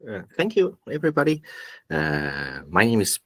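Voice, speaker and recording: A low-voiced man with a Danish accent presents informally over a video call. His pitch moves around a lot as he talks haltingly with frequent pauses, and the sound is dry, without room echo. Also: huge pitch swings, a bit bright. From Denmark. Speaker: invited speaker, activist group founder. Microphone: video call microphone.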